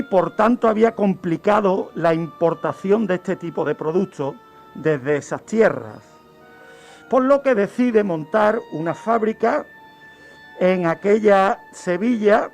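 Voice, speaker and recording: Slow, high-voiced male storyteller. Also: expressive, creaky, a little mellow. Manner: didactic, asks and answers own questions. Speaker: guest criminologist telling crime history. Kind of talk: radio storytelling of historical events. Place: radio studio. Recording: music bed behind the voice. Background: background music.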